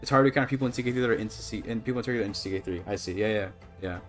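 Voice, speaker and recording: A man's voice: fairly low pitch, rapid-fire, faint music behind. A Canadian man chatting casually to his livestream audience, rambling and apologetic as he goes. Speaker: live streamer. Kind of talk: casual gaming livestream chat.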